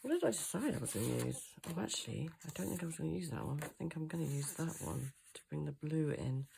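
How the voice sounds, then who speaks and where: A woman talks through a craft project while nursing a cold, so her voice comes out throaty, low and full of gravelly fry. She muses aloud as she works, pausing often with little ums as she decides what to do next. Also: animated, dark tone, a little hiss or hum. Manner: thinks aloud, hesitant with many ums. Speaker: craft channel host. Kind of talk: chatty hands-on craft tutorial.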